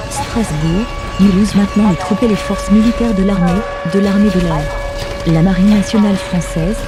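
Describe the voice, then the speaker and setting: Emergency broadcast announcer, mid-pitched synthetic voice, formal scripted delivery, clear music underneath. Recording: music behind the voice.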